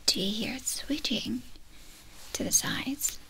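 Young woman whispering slowly in ASMR. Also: a little high, poor audio. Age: young adult. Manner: soft, close-up whispering with short, gentle instructions. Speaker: ASMR performer playing a doctor. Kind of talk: whispered ASMR medical exam roleplay. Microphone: close ASMR microphones.

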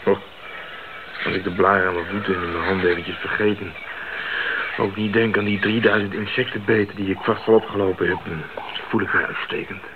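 A man's voice: low-pitched, fairly even intonation, fairly quick, natural voice. An actor playing a young male missionary in a radio drama, thoughtful and dryly good-humoured. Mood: wry, weary. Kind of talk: 1980s radio drama acting. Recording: muffled, noisy 1980s radio recording.

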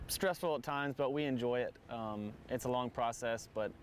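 A man's voice: mid-range voice, normal speed, slight background noise. A man in his thirties, a stadium field manager, answering interview questions casually with many ums and repeated words, recorded outdoors on a lapel mic. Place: outdoors in a large empty stadium.

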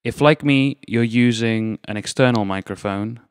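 A man presenting an instructional demonstration step by step, with measured phrasing and pauses, speaking into a close dynamic microphone. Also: mid-range voice, smooth, no room sound. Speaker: presenter of a synthesizer tutorial. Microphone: external dynamic microphone, close.

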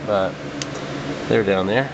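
Deep, gravelly man in a basement. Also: slow pace, dull audio. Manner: relaxed, rambling, hesitant with fillers. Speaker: home winemaker. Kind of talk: casual walkthrough vlog. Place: home basement. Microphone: handheld camera. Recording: noisy camcorder audio with fan hum. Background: fan and air conditioning hum.

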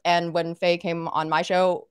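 A chatty woman in her thirties from Arkansas, talking on a video call with a slightly low voice. The sound is dry and close, with no room around it. She talks loosely, with plenty of "like" fillers. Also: flat delivery, a little slow, clear, resonant tone, no echo. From Arkansas, United States. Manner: chatty, frequent "like" and "you know" fillers. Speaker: podcast host and guest. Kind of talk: casual interview chat. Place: home room, indoors. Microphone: webcam on a video call.

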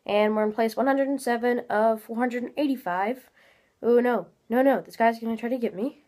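A woman's voice: a little high, normal speed, darkish tone. Teen girl host, stuffy-nosed and chatty, rambling gameplay commentary from a home webcam. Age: teens. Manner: chatty, rambling, many fillers. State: congested, a little nasal. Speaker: gaming channel host. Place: small room at home, indoors.

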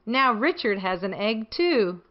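A middle-aged woman hosting for young children, reading stories in an animated way and asking the listener questions. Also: mid-range voice, unhurried, reverberant, distant mic, lo-fi sound. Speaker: children's show host and storyteller. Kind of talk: children's storybook reading and chat to young viewers.

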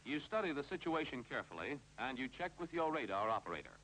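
A man's voice: medium pitch, big pitch swings, fast talker, a bit bright. An American man reading scripted instructional narration for a 1950s training film, with a clipped, authoritative delivery. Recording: noisy 1950s film soundtrack with hum.

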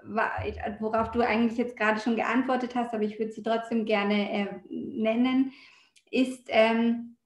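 A woman in her thirties with a fairly high voice moderates an online event. She speaks haltingly, pausing mid-sentence as she passes on questions. Her video-call audio has a clearly echoey room sound. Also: flat delivery.

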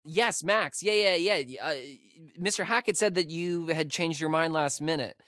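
A man's voice: on the high side, normal speed, pushed and bright, dry recording, clean studio sound. A young man with an American accent acting a wisecracking, nervy camp counselor in a video game, with casual, hesitant delivery. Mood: astonished.

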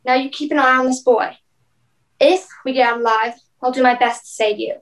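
A woman's voice: sits high, fairly even intonation, a little fast, close to an everyday voice. A young woman playing a stern but caring ship's captain in a radio play. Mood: resigned.

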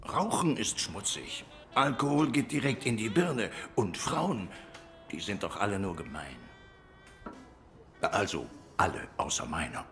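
A man's voice: on the low side, very animated, unhurried, creaky, a bit bright, faint music behind, heavily acted. An actor playing a bored, self-righteous dog policeman who rambles insistently in a noir video game.

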